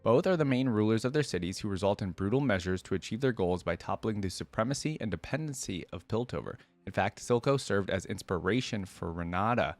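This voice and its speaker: A man hosting a reaction video, reading aloud and thinking out loud in a casual, conversational way. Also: on the low side, animated, a little fast, few pauses, no echo.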